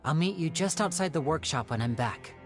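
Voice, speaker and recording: A woman playing a composed young magician in a video game, measured and polite, caring but gently firm. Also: sits low, animated, music in the background. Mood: cool, decisive. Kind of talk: English video game voice acting.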